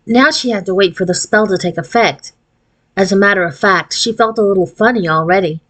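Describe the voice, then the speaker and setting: Give the voice of a woman narrating a children's novel aloud. Her pitch sits in the middle range, and her delivery is expressive storytelling. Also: brisk. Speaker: audiobook reader.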